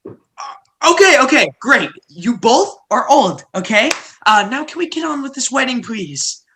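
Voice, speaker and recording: A woman's voice: fairly high pitch, big pitch swings, normal speed, fairly bright tone, slight background noise. A woman in a comedic play reading, playing a scheming, exasperated parent with a theatrical, sarcastic delivery.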